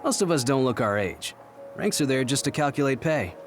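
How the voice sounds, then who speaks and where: A man acting as a composed anime-game captain. He briefs his squad briskly and with authority, in a mid-pitched voice with lively inflection. Light music plays behind him. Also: lightly acted. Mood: matter-of-fact. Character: composed male military captain, youthful, matter-of-fact commander. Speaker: platoon captain.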